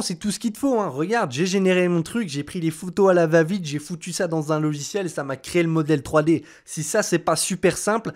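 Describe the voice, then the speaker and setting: Young male tutorial host, slightly high voice, chatty and joking, casual voice-over. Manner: chatty, joking, addresses viewer as tu. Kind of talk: casual screen-recorded tutorial.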